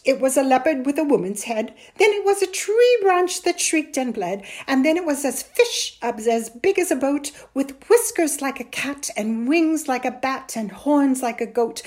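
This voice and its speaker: A woman's voice: a little high, darkish tone, voice pushed up, close to an everyday voice. A woman voicing a weary, scolding, impatient widowed mother in a story, stern and emphatic.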